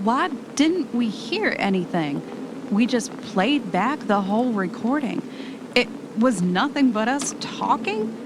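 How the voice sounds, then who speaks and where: Woman acting a higher-voiced young wife, noisy recording. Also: sing-song, takes it slowly. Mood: rattled. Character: young wife on vacation, affectionate, easily unnerved. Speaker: actor in an amateur radio drama. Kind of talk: naturalistic audio drama dialogue. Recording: noticeable background noise, amateur recording.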